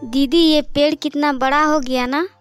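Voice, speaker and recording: A woman's voice: high voice, fairly even intonation, moderate pace, soft background music, close to an everyday voice. A woman voicing a polite, earnest young daughter in a cartoon fairy tale. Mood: affectionate. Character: polite, anxious young girl devoted to her mother.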